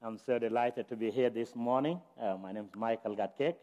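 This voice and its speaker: A man from South Sudan speaks earnestly as a guest pastor, his voice sitting at an ordinary middle pitch. He presses harder when he makes a point. A long reverb hangs on his words in the church. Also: a little fast, warm tone, dull audio. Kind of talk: church talk. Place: church sanctuary.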